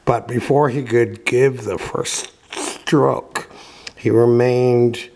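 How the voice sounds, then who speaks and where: A man reading a children's story aloud as narrator, with deliberate dramatic pauses. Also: medium pitch, steady tone, creaky, a little mellow. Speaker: audiobook reader.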